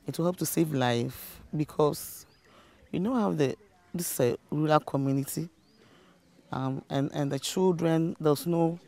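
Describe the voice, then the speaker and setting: A man's voice: a little high, vocal fry, a little mellow. A man answering an interview outdoors, earnest and repeating his points.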